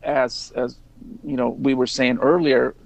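A man speaking as an interview guest, reflective and earnest, in casual, halting sentences. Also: medium pitch, little pitch movement, creaky, no echo, poor audio.

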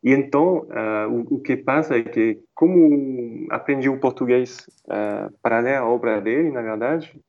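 A man's voice: mid-range voice, unhurried, few pauses, narrow, tinny audio. A French man speaking Portuguese as a second language, a guest chatting in an interview, hesitant with many restarts and fillers. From France. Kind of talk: casual interview chat.

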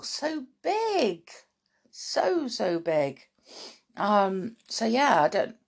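Woman, croaky, gravelly, slow-paced, lively pitch, chatty with children. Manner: warm chatty aside-filled talk with many ums and little laughs. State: croaky morning voice. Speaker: children's podcast host and storyteller. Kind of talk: chatty spoken intro to children's story podcast.

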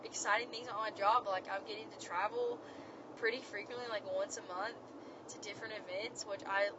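An earnest young woman shares encouragement in a rambling, heartfelt way, her voice still cracked after an illness. She records from her car while driving, so the sound is dull and lo-fi. Steady road noise hums behind her. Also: mid-range voice, brisk. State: voice cracked after being sick. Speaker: podcast host. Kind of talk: casual faith talk.

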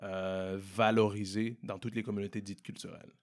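A young man from Montreal with a Québécois French accent, answering interview questions in a relaxed way, often repeating and restarting words. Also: fairly low pitch, normal speed. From Montreal, Quebec. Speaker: entrepreneur guest. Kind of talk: casual interview conversation.

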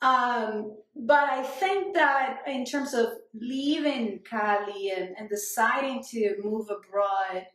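Interview guest: woman, 40s, Colombian accent, reflective, slightly high voice, heavy room echo. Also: takes it slowly, hardly any pauses, a bit bright. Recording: very echoey room.

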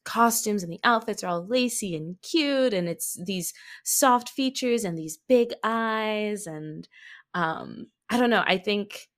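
A woman's voice: mid-range voice, takes it slowly. A woman talking as a podcast guest in a casual, chatty way, telling things expressively with self-deprecating asides. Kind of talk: casual chat about anime.

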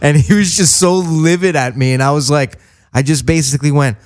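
A man's voice: a little high, a little fast, a crowd in the background. A man co-hosting a comedy podcast, telling a crude story and laughing as he talks. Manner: crude, laughing mid-sentence. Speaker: podcast co-host.

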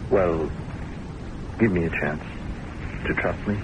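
An actor playing a patient, gently probing doctor in an old-time radio drama, measured and soothing. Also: male voice, sits low. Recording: noisy old radio recording.

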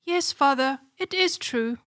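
Squeaky little storybook princess, way up high and swinging all over, fussy. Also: male voice, very animated, measured pace, pushed and bright, exaggerated voice. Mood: apologetic. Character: spoiled young princess, shrill and fussy.

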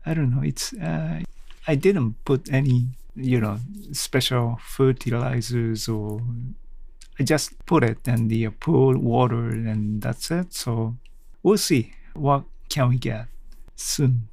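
Male podcast host, unhurried pace, gravelly fry, casual solo talk, halting, thinking aloud. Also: mid-range voice, darkish tone, dry recording.

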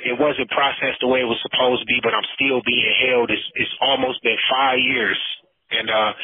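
A man's voice: mid-range voice, husky, no room sound. A man giving personal testimony over a telephone line, speaking in an earnest, colloquial, pleading way. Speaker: storyteller, incarcerated caller. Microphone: phone handset.

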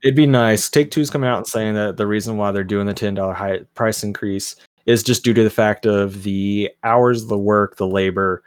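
A man co-hosting a casual gaming podcast, reading and explaining industry news in a measured way. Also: fairly low pitch, steady tone, clean tone, dry recording. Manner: reads headlines in a measured, explanatory way.

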